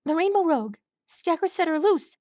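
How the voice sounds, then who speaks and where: A woman's voice: very high voice, lively intonation, brisk, dark tone, dry recording, studio quality, pitched above the performer's own voice. A woman voicing a frantic young male colt who pleads urgently, breathless, his voice cracking. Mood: desperate.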